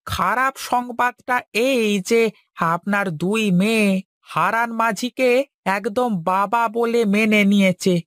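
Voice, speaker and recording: A woman's voice: a little high, a little slow, fairly bright tone, no room sound, clean studio sound. A woman voicing a loyal, deferential servant and messenger in a cartoon, speaking assuringly. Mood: reporting news. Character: loyal servant-messenger watching over the master's daughters. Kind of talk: Bengali cartoon voice acting. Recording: music under the voice.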